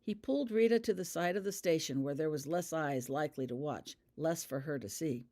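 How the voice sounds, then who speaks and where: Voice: mid-range voice, brisk, a little mellow. An author reading their own fan fiction as scripted audiobook narration.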